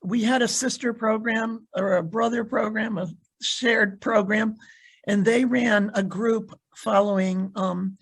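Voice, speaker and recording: A very husky, gravelly, hoarse voice on a video call, of a speaker who is losing their voice. They speak haltingly as moderator and keep clearing their throat. The pitch sits in the middle. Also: steady tone. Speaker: panelist and moderator.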